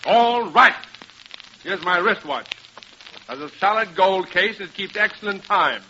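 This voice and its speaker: An actor playing a pompous, indignant middle-aged English professor in an old-time radio comedy. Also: male voice, sits high, moderate pace, pushed and bright, dull audio. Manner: pompous, haughty, elaborate wording. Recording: noisy, band-limited vintage radio recording.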